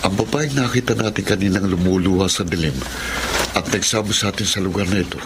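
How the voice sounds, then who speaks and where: An actor with a Filipino accent playing a puzzled, stranded hunter in a radio drama, earnest and inquiring. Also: male voice, low-pitched, fast talker, background noise. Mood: baffled, uneasy.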